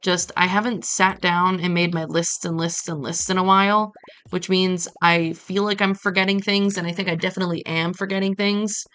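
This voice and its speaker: A woman from Brazil chatting casually as she streams a game, telling stories in an animated way with playful asides. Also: fairly low pitch, normal speed, smooth. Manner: animated storytelling with acted-out quotes and playful asides. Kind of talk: casual chat while playing a game on a livestream.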